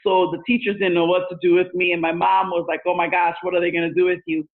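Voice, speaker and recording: A woman's voice: on the low side, little pitch movement, quick pace. A woman in her forties whose first language is Spanish, earnestly answering interview questions with frequent fillers. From United States. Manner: earnest, thoughtful, many fillers. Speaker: guest, education leader. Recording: tinny phone line.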